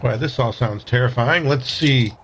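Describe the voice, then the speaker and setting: A man's voice: medium pitch, moderate pace, background noise. A man playing a tabletop game, talking casually through what his character does.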